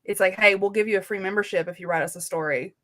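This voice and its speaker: A woman in a casual book discussion as a co-host, talking informally with slangy phrasing and softened opinions. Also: medium pitch, quick pace.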